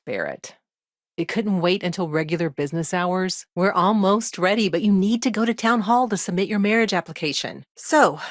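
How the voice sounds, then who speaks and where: Actress as female game lawyer, lowish voice, close dry recording, measured, pragmatic, wry, guarded, conversational delivery. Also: dry recording, lightly acted. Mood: dry, weary. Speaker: video game voice actor. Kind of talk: scripted game dialogue, conversational.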